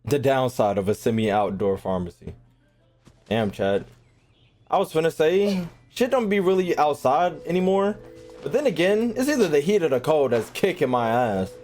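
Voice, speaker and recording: Guy streaming a game, slangy and sweary, kinda high animated voice, music in back. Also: moderate pace. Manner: blunt, irreverent, profane. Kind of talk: casual gaming commentary with heavy slang.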